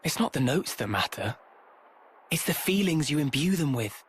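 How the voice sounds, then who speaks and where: An actor playing a calm, earnest, quietly intense man in a video game, speaking in a measured, reflective way. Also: fairly high pitch, slight background noise. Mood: gentle, reflective. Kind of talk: dramatic video game voice acting.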